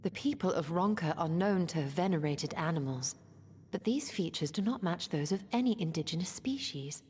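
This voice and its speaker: An actress voicing a calm, observant female scholar in a game, speaking in a measured, partly whispered way. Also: on the low side, a little hiss or hum, natural voice. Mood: thoughtful. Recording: long reverb, faint game ambience.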